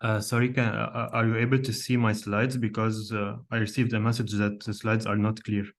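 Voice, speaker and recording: Low monotone guy from lebanon teaching on a video call, lots of uh and restarts. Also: brisk. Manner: hesitant, many fillers and restarts, asks the audience questions. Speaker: cardiologist giving an online lecture. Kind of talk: live online lecture. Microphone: computer mic on a video call.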